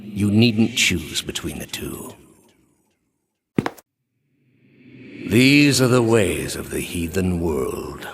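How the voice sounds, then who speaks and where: An actor playing a solemn, pious older high priest in a video game, calm and commanding, with a grave, measured, formal delivery. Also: male voice, vocal fry, exaggerated voice. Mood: firm, persuasive. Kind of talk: dramatic video game character dialogue.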